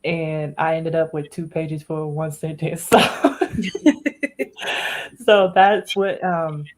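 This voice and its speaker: Guest author, woman from Washington, deep-voiced, cheerful and eager. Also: fairly even intonation, slow. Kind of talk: casual interview.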